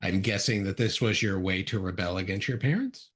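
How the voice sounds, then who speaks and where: A man hosting a podcast, asking brief, relaxed interview questions. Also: low voice, brisk, breathy edge. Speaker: podcast host.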